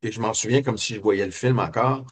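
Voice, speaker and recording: Un homme québécois, invité d'une entrevue, qui raconte son enfance d'un ton réfléchi, avec beaucoup d'hésitations. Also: male voice, lowish voice, fairly quick, breathy edge. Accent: Québécois French. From Quebec. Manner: reflective, many hesitations and fillers. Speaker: guest. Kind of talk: personal storytelling interview.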